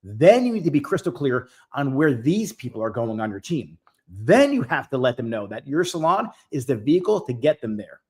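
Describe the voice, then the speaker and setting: Man in his forties, host of a coaching live Q&A, direct and emphatic, mid-pitched. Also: very animated, normal speed, a little mellow, breathy edge. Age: 40s. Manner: direct, emphatic, repeats key points.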